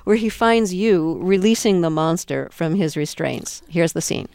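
A woman's voice: on the low side, lively intonation, unhurried, creaky, darkish tone, no echo. A woman interview host who laughs easily and sets up clips for her guest.

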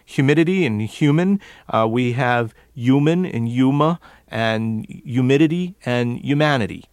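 A man's voice: medium pitch, a little slow, clean tone, no room sound. A middle-aged man from New York explains accents as a coach in a radio interview. He repeats words over and over in contrasting pronunciations. His mid-range voice sounds dry and close.

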